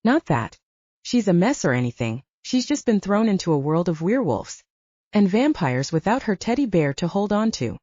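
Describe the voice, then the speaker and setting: A woman's voice: medium pitch, sing-song, moderate pace, warm tone, dry recording, lo-fi sound. A woman voicing a wry, casual, teasing male housemate in an audiobook.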